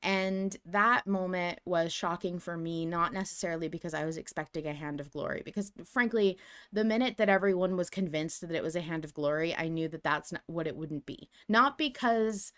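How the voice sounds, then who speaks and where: A woman's voice: fairly low pitch, normal speed, a bit bright, smooth. A woman co-hosting a live fan podcast, chatting casually with lots of fillers and restarts, and sometimes reading notes aloud. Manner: chatty, self-deprecating, many fillers and restarts. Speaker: podcast co-host. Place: home setup on a live stream.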